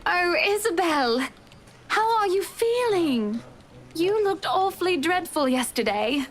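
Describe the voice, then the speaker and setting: A woman voicing an effusive, overly sweet wealthy married lady with drawn-out delivery in a visual novel read-aloud. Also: extremely high pitch, lively intonation, pitched above the performer's own voice, full character voice. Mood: sweet concern.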